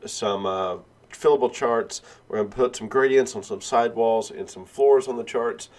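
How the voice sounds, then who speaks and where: Male instructor, lowish voice, stuffy with a cold, walks through each step, fillers like "uh". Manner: step-by-step, with frequent "uh" and "all right". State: has a cold, sniffling. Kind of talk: screen-recorded software tutorial.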